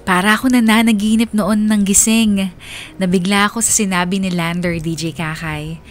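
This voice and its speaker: A woman acting a confiding young narrator, mid-pitched. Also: fairly even intonation, normal speed, faint music behind, natural voice. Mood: reflective. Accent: Filipino. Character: young woman letter-sender recounting her first love. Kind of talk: first-person radio drama narration.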